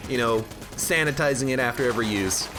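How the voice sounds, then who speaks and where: A man's voice: medium pitch, fast. A man casually commenting on a video game and chatting with viewers, stuffed up from allergies and rambling with jokes at his own expense, game music and gunfire playing behind him. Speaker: game streamer. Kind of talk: casual let's-play commentary. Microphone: own desk microphone.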